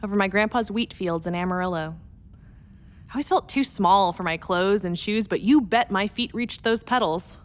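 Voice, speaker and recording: A woman's voice: mid-range voice, lightly acted. A woman playing a determined, nostalgic pilot in a radio play monologue, earnest and insistent as she recites to herself.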